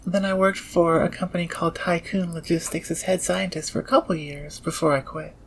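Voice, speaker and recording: An actor in an audio roleplay playing a socially awkward, intense engineer turned thief, speaking in a halting, precise, nerdy way. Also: mid-range voice, fairly even intonation, close to an everyday voice. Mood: matter-of-fact, frustrated. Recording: clear background ambience noise.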